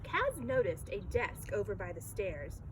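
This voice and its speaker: A woman's voice: medium pitch, sing-song, measured pace. A woman reading a children's story aloud as narrator. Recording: clearly noisy background.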